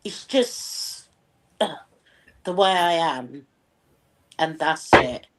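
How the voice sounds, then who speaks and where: A woman with a lowish voice co-hosts an online panel, speaking slowly with confident, candid directness. She often drops in a casual "you know". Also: animated. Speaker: co-host.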